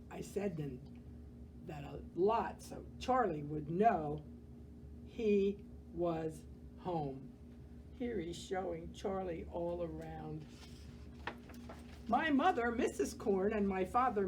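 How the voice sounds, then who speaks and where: A woman reading a children's picture book aloud as a library storyteller, gentle and expressive, pausing to comment on the pictures. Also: medium pitch, a little hiss or hum, poor audio.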